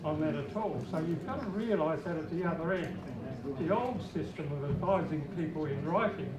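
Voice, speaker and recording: An older man with a hoarse voice, speaking as a resident at a public meeting, blunt and rambling. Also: on the high side, brisk, distant mic. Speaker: resident asking questions. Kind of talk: public meeting question from the floor. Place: civic centre meeting hall. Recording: echoey hall, noisy audience.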